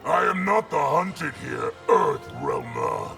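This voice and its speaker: An actor voicing a huge, arrogant, brutal horned general in a video game, booming, pompous and threatening. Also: male voice, huge pitch swings, takes it slowly, in a large hall, music in the background, exaggerated voice. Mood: arrogant menace. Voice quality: gravelly, booming.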